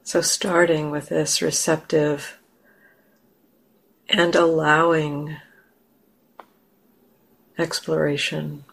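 A woman leading a guided meditation as a teacher, gentle and unhurried, leaving long pauses. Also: low voice, little pitch movement, gravelly, low-quality recording.

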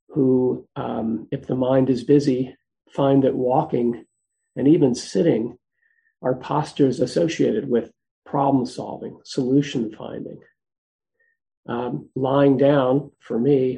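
A soft-spoken man teaching meditation, giving gentle, unhurried instructions with frequent pauses. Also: mid-range voice, creaky, lo-fi sound.

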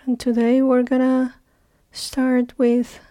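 A woman teaching guided meditation, speaking in short, unhurried phrases with long pauses between them. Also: sits high, monotone, mellow, dry recording.

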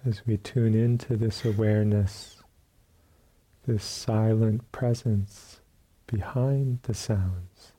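A man leading a guided meditation as a teacher, speaking calmly in short phrases with long pauses and a soft, husky voice. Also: lowish voice. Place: meditation retreat room.

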